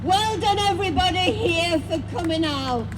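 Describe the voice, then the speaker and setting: A woman speaking slowly and earnestly to a crowd at an outdoor street protest. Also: very high-pitched, animated. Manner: slow, earnest address. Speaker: rally speaker.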